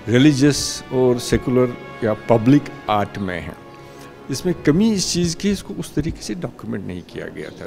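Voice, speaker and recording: Gravelly Kashmiri man in his sixties. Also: medium pitch, a little mellow. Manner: measured, explanatory. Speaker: book author. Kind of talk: news interview. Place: book launch hall, indoors. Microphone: handheld news mics close up.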